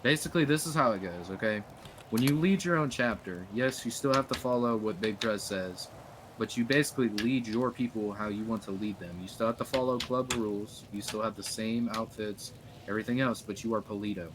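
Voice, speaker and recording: Low-voiced blunt man over noisy mic. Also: brisk. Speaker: roleplay club leader. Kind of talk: casual online game chat. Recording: clearly noisy mic, clicks, typing.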